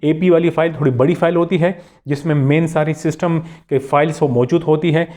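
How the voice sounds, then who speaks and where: A man's voice: a little high, fairly even intonation, fairly quick, dark tone. A man hosting a tech tutorial, narrating step by step in a polite, instructional way and repeating key steps.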